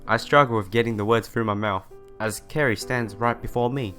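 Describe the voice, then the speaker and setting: A man's voice: lowish voice, fairly quick, bright, forceful tone. A man reading a visual novel aloud and adding casual commentary, as a let's player does. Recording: music playing behind the voice. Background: game music.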